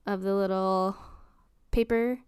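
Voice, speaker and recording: A woman talks casually as a podcast co-host. Her tone is exceptionally clean and smooth, she takes her time, and the recording is dry. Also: medium pitch, fairly even intonation, slow, darkish tone, dry recording. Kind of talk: casual chat.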